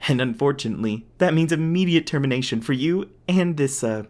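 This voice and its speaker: Smug, theatrical villain acted by a man, swooping pitch. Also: animated, fairly quick, a little mellow, close to an everyday voice. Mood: gloating. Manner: taunting, sarcastic, mock-polite. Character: smug, sadistic corporate hunter villain, mocking and theatrical. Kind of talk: ASMR audio roleplay acting.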